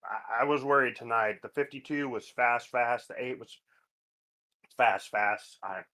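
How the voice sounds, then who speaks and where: A man who just won a race, chatting casually in a relaxed, modest and thankful way. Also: mid-range voice, measured pace. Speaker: winning race driver. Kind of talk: casual post-race interview.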